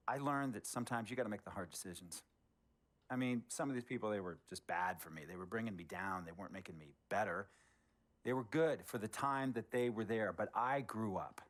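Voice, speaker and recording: A man playing a blunt, worldly middle-aged team owner, giving measured, authoritative advice in a game drama. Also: on the low side, close to an everyday voice. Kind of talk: dramatic cutscene dialogue.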